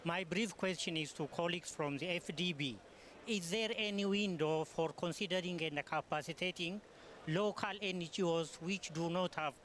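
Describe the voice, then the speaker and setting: A man's voice: on the high side, a bit bright, a little hiss or hum. A man with an Ethiopian accent, an NGO worker, asking a formal question from the audience.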